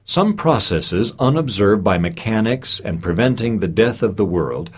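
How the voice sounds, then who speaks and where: Husky, low-voiced man reading with lively pitch. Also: unhurried. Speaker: reader of a recorded speech. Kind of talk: scripted reading of a scholarly lecture.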